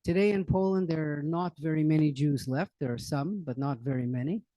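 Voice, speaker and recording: An older man speaks in a loose, rambling lecture manner, full of fillers and restarts. His voice sits a little high and sounds mellow. The sound is dry and close. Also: a little fast, no echo. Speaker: lecturer on current events. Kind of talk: informal public library talk. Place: library meeting room.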